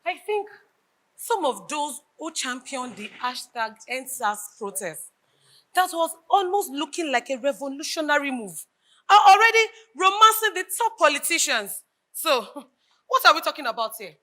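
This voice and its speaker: A sceptical Nigerian woman, high and forceful in voice. She questions and challenges theatrically, her pitch moving in lively swoops. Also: moderate pace, heavily acted. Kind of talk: live stage drama acting, theatrical dialogue.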